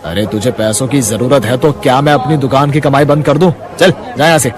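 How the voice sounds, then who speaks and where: A man's voice: medium pitch, a little fast, a crowd in the background, natural voice. A man doing a rude bullying shopkeeper. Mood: bossy. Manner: harsh, shouting.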